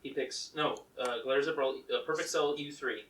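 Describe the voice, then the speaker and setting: A man's voice: medium pitch, brisk, fairly bright tone, breathy edge. A man co-reading fan fiction with casual, jokey banter and an informal manner.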